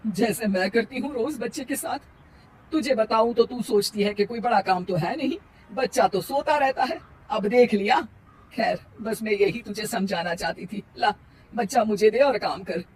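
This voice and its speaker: Mid-pitched woman as an older mother-in-law, weary, scolding, sarcastic, family cartoon, noisy background. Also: moderate pace, close to an everyday voice. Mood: pointed. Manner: nagging, sarcastic, emphatic.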